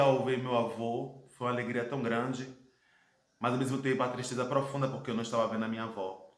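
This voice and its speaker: A Brazilian man in his thirties speaks at an ordinary, mid-range pitch, telling his own story in an earnest, reflective way. He leans on dramatic pauses as he goes. The room around him rings with a noticeable echo. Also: fairly bright tone. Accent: Brazilian Portuguese. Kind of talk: personal testimony monologue. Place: his home, indoor room. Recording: echoey room.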